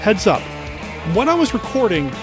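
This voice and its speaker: A man's voice: sits high, big pitch swings, moderate pace. A man hosting a podcast, speaking with a nasal voice because of a head cold, giving an enthusiastic intro. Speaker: podcast host. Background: music.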